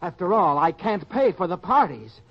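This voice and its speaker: A man's voice: sits high, sing-song, normal speed. An actor playing a naive, earnest young farm boy who has been swindled, in an old-time radio drama style. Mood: indignant, angry. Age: young adult. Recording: dull lo-fi radio-style recording.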